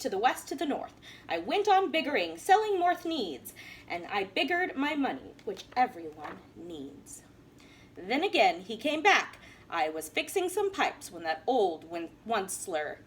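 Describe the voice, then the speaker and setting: Animated woman reading a rhyming story. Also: a little high, a little hiss or hum. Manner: animated, sing-song rhyming delivery. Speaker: teacher reading a picture book. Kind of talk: storybook read-aloud.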